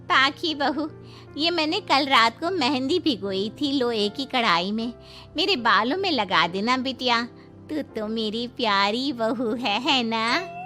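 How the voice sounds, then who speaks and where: A woman's voice: a little high, lively intonation, slow, sharp tone, faint music behind, exaggerated voice. A woman voicing a quarrelsome, wheedling older mother-in-law in a cartoon, with exaggerated, dramatic delivery. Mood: coaxing. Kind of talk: Hindi moral-story cartoon dubbing.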